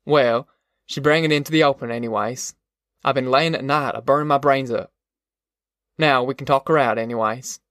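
Narrator playing sarcastic farm father, medium pitch, worn-down, proud, folksy dialect, audiobook, dry recording. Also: moderate pace, fairly bright tone, dry recording, lightly acted. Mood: relieved. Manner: rustic folksy dialect, grumbling. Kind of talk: audiobook character voice.

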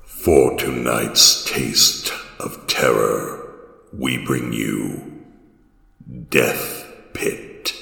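A man playing a menacing, ghoulish horror-show host, slow and ominous, introducing scary tales with mock reassurance. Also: rumbling low, fairly even intonation, pushed and bright, heavily acted. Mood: ominous, teasing. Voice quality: gravelly. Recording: cavernous reverb with faint hiss.

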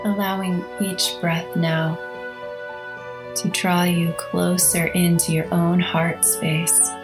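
A woman hosting a guided meditation, speaking in a soothing, measured way with long pauses between short phrases. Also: on the low side, little pitch movement. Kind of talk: slow guided meditation narration. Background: instrumental music.

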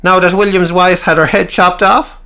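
A man doing a shrill, indignant townsman. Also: little pitch movement, moderate pace, clean tone. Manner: high, indignant, shouting. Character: sharp-tongued indignant townsman.

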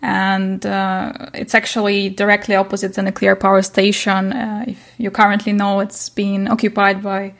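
Young female interview guest, Ukrainian accent, non-native speaker, medium pitch with little variation, halting phrasing. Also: monotone. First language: not English. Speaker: interview guest, chess coach. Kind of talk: casual interview chat.

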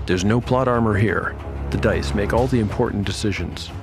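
A man's voice: lowish voice, moderate pace. A man narrating a scripted podcast promo as its host, in a dramatic manner. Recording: music bed behind the voice.

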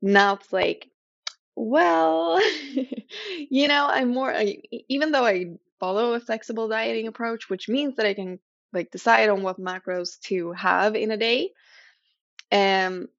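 A young Swedish-accented woman hosts a podcast in casual English, her voice carrying a gravelly fry. She rambles and hesitates, leaning on fillers as she talks. The recording is dry and close, with a slightly dull, lo-fi sound. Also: fairly high pitch, unhurried, dry recording. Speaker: podcast host.

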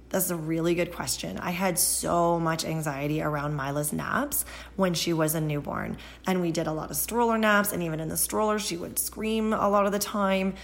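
A woman in her thirties hosting a podcast on baby sleep, her voice hoarse and scratchy, explaining things slowly and patiently in a chatty way. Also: lowish voice. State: hoarse, scratchy voice from a seasonal cold. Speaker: pediatric sleep consultant and podcast host. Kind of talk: solo podcast Q and A.

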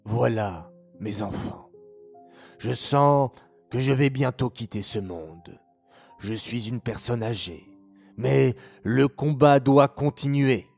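A man's voice: takes it slowly, vocal fry, husky, faint music behind, exaggerated voice. A man voicing an old, dying father who speaks weakly but with determination. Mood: solemn. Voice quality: quiet, frail, low.

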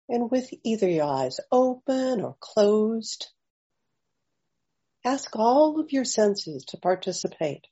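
A woman leading a guided meditation, offering gentle invitations with long pauses between phrases, in slightly dull audio. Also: medium pitch, breathy edge. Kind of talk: slow guided meditation narration.

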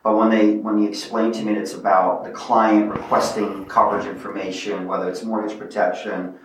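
A man's voice: lowish voice, little pitch movement, fairly quick, very echoey, far from the mic, slight background noise, rough recording. A man from Bosnia whose first language is not English, telling his story earnestly and reflectively as a guest in an interview. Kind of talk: casual interview.

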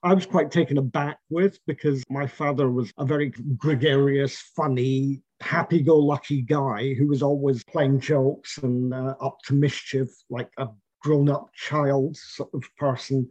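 A man's voice: medium pitch, fairly even intonation, a little mellow, no room sound. Reflective middle-aged man, dry lo-fi sound. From Scotland. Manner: reflective, measured, with fillers and restarts. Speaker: interviewee. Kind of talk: oral history interview. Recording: dull, lo-fi and dry.

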